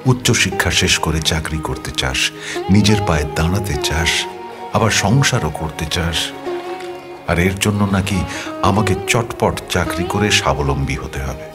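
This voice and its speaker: Low-voiced man acting a restless young letter writer, music behind. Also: expressive, normal speed. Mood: frustrated, questioning. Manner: reflective, questioning, intimate. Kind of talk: dramatic audio story narration.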